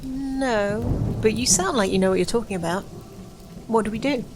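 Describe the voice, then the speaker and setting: A woman's voice: on the high side, normal speed, music in the background, natural voice. A woman playing a plain-spoken, worried human in an audio drama, blunt and puzzled.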